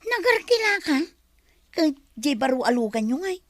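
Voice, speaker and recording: A woman's voice: high voice, very animated, normal speed, no echo. An actress with an Ilocano Filipino accent playing a dutiful wife in a comedy drama, earnest and concerned for her mother. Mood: curious.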